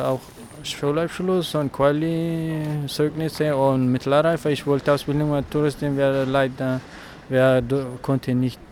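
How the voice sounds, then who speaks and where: A man's voice: medium pitch, darkish tone, a little hiss or hum. A young man from Afghanistan speaking earnestly and hesitantly in a street interview at a protest. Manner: earnest, hesitant, searching for words. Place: outdoors on a city street.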